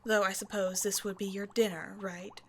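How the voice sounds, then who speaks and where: A woman's voice: medium pitch, level tone, moderate pace, fairly bright tone, a little hiss or hum, lightly acted. A woman voicing a haughty vampire scientist in an audio roleplay, with a playful, condescending, mock-sweet delivery. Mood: playful, teasing. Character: female vampire scientist, teasing, haughty captor. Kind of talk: scripted ASMR roleplay monologue addressed to the listener.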